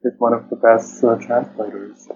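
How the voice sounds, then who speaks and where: A man being interviewed over a call, talking casually and hesitantly, often pausing mid-phrase and repeating himself. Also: medium pitch, little pitch movement, a little mellow, faint music behind. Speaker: interview guest, poet and translator. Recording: dull lo-fi call with clear noise.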